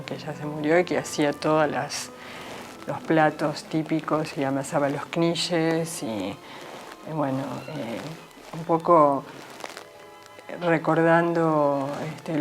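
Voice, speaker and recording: An actress talks in an interview about her role, in a relaxed, reminiscing way with many fillers. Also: low-pitched, measured pace, soft background music, rough recording. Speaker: stage actress.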